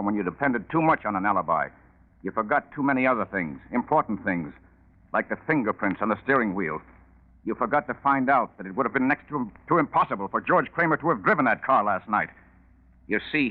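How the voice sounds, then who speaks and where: A male actor as a shrewd police lieutenant in an old radio crime play. His low voice moves widely as he probes with sardonic control. The recording is thin and tinny, with a narrow 1940s radio sound. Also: lightly acted.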